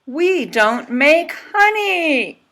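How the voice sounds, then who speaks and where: A woman's voice: high-pitched, sing-song, extremely slow, sharp tone, pitched above the performer's own voice, full character voice. A woman voicing perky, proud little wild bees from a children's storybook, in short declarations. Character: chirpy wild bees introducing themselves, storybook creatures.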